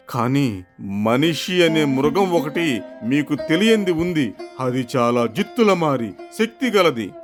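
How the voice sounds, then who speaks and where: A man voicing a wise, dying old father tiger in a folktale, speaking with slow, grave deliberation. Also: medium pitch, voice pushed down.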